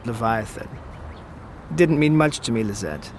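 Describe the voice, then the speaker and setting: An actor playing a wistful, evasive immortal man in an audio drama, speaking with theatrical eloquence that turns halting when he is pressed. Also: medium pitch, animated, slight background noise, close to an everyday voice. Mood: grieving, bitter.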